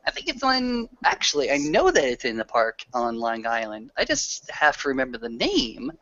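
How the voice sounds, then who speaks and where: A man's voice: mid-range voice, wildly swooping pitch, normal speed, sharp tone. A man improvising narration for a tabletop roleplaying game, wordy and hesitant in his phrasing. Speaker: tabletop game storyteller or player. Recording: dull lo-fi online call audio.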